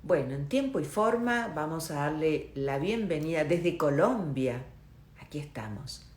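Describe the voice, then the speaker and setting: A woman's voice: low-pitched, lively intonation, echoey room. A woman hosting a live online interview, chatting and greeting viewers by name before bringing on her guest. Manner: chatty, warm greetings, quick asides. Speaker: host. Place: home in Miami.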